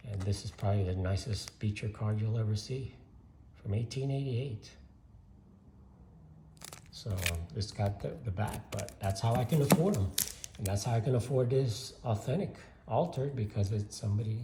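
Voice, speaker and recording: A man's voice: lowish voice, moderate pace, roomy sound, background noise. An older man from Cuba chatting casually about his collection, rambling with lots of fillers and restarts. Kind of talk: casual show-and-tell vlog.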